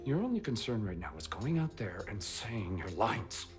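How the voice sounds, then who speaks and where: An actor playing a menacing criminal in a period crime drama, speaking in a curt, intimidating way. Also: male voice, on the low side, big pitch swings, moderate pace, soft background music. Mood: curt, threatening. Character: menacing male criminal making quiet threats.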